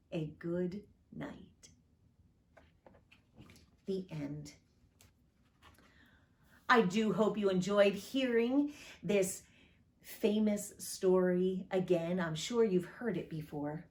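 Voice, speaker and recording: Slow, expressive woman pastor reading aloud. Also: medium pitch. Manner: expressive, lilting read-aloud delivery; friendly direct address to listeners.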